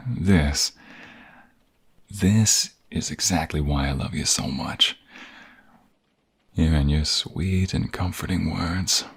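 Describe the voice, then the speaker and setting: A man playing a tender, protective young mobster in an intimate ASMR romance roleplay, speaking softly and haltingly in a husky, gravelly, breathy voice. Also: rumbling low, heavily acted. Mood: vulnerable, loving. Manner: soft, halting, gentle, intimate.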